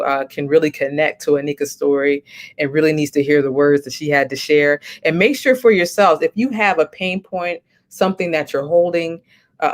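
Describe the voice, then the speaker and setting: Woman host, chatty and encouraging, talks through her thoughts, casual livestream wrap-up. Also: sits low. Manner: chatty, encouraging, thinks aloud.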